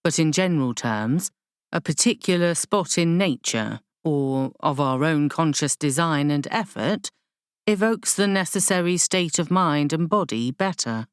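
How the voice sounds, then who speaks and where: A female narrator plays a serene woman spiritual guide from a fantasy audiobook. She speaks low and slowly, explaining with gentle, unwavering patience and slightly formal wording. The recording is very dry. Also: lively intonation, clear, resonant tone, dry recording. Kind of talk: audiobook character dialogue.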